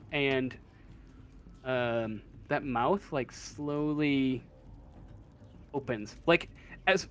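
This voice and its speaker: A man improvising narration as a tabletop game master, halting as he searches for words. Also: on the high side, a bit bright. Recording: faint room noise.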